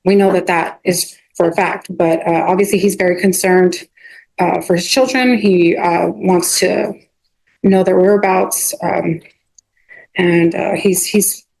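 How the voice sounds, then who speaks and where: Halting woman attorney addressing a judge. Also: fairly low pitch, level tone, creaky, darkish tone, breathy edge, rough recording. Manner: halting, repetitive, restarting phrases often. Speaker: defense attorney. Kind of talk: addressing a judge in a court hearing. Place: courtroom.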